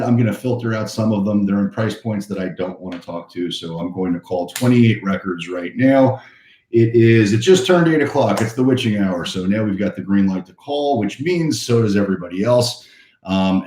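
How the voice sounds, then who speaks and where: Low-voiced male host, hoarse, energetic motivational delivery, recorded in a reverberant home office. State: hoarse, voice a bit shot. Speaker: real estate agent hosting a live cold-calling stream. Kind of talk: casual pep-talk livestream chat.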